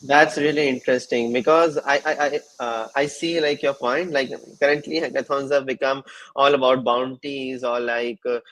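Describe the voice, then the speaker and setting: A man hosting a casual livestream interview, speaking in halting phrases with repeated fillers. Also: medium pitch, fairly even intonation, a bit bright, slight background noise, low-quality recording. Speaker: host of a developer livestream session.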